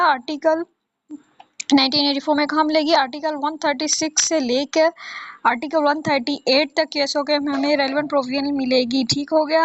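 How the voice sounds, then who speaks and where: A woman with a Pakistani accent lecturing as a law teacher, reading out notes and explaining them repetitively, often asking and answering her own questions. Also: high-pitched. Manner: switches between Urdu explanation and slowly read English. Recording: dull, lo-fi close recording.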